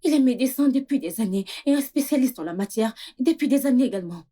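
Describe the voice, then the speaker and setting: A woman's voice: high voice, animated, quick pace, mellow, husky. A woman playing an anxious young wife who coaxes and soothes, in dubbed film dialogue. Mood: soothing, coaxing. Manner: coaxing, pleading.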